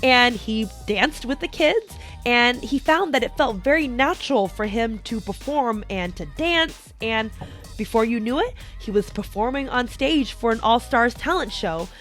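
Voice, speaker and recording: A young adult American woman presenting a prepared motivational monologue as a host, explaining things and addressing the listener directly. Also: high-pitched. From United States, small town in Colorado. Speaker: podcast host.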